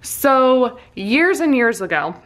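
A middle-aged woman casually telling a personal story in an amused, self-deprecating, animated way, as a vlogger would. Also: a little high, slow, poor audio. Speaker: vlogger and soap maker.

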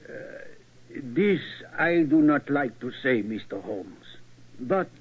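Husky, anxious old German keeper. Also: male voice, medium pitch, very animated, full character voice. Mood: troubled. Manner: halting, courteous, pleading. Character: anxious, deferential aging German tower keeper. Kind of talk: 1940s radio acting. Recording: dull, lo-fi old radio recording.

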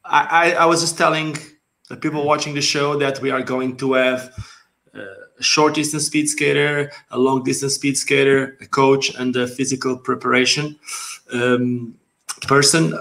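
A man's voice: medium pitch, moderate pace, gravelly. Portuguese-accented man hosting a video call. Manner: animated, laughing, gestures a lot. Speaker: host. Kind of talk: casual video-call interview. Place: dim home room. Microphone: headset on video call.

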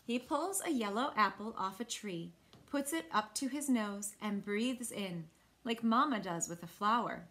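A woman in her thirties with an American accent, reading a picture book aloud to children with expressive, clearly phrased delivery. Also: mid-range voice, measured pace. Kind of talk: children's read-aloud storytelling. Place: small indoor room.

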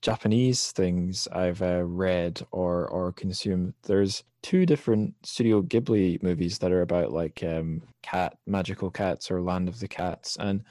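Low-voiced young man hosting a podcast. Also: measured pace, few pauses, warm tone, no room sound, studio quality. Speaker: podcast host. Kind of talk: casual conversational interview.

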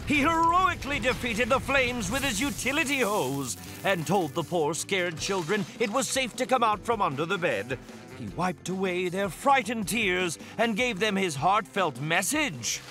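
Melodramatic kids cartoon narrator, high bright voice, super playful and over the top. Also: male voice, big pitch swings, a little slow, faint music behind, full character voice. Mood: dramatic. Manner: mock-dramatic, playful, exaggerated. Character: excitable, melodramatic, silly male storybook narrator. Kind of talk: scripted children's cartoon narration.

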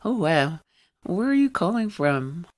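A woman's voice: lowish voice, very animated, measured pace. A woman hosting a radio interview, putting questions to a guest.

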